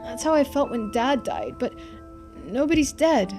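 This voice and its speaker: A woman plays an anxious young boy from a mystery game. The voice is high, halting and pleading, full of confusion and distress, with clear music underneath. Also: expressive. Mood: anxious, confused.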